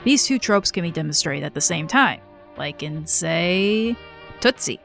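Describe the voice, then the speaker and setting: A woman narrating a scripted video essay in a measured, earnest way. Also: on the low side, animated, vocal fry, a bit bright, soft background music.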